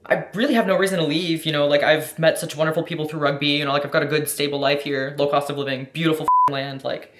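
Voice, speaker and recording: A woman's voice: deep voice, fairly even intonation, quick pace, hardly any pauses, a bit bright. An American woman chatting casually in a Q&A vlog, effusive and slangy, swearing freely and peppering everything with "like". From United States. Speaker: vlogger answering questions. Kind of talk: casual Q&A chat. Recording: roomy echo.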